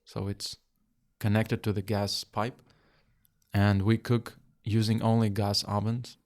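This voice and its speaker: Lowish-voiced man from Russia, rambling. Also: level tone, slow pace, a little mellow. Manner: rambling, explanatory, many fillers. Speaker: podcast host. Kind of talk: casual interview chat.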